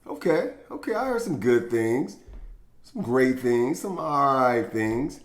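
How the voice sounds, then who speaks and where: A man hosting a children's storytime, speaking to young listeners in an encouraging, expressive way as he chats and reads aloud. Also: mid-range voice, slow. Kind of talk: children's read-aloud and upbeat chat to young viewers.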